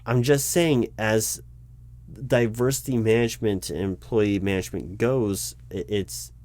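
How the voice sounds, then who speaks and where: Man playing a low-voiced, exasperated recruiting manager. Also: a little mellow, lightly acted. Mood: insistent, lecturing. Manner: halting, repetitive, explanatory, insistent. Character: flustered, exasperated male human resources recruiting manager, lecturing his boss. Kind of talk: one-sided improvised audio roleplay, loud spoken.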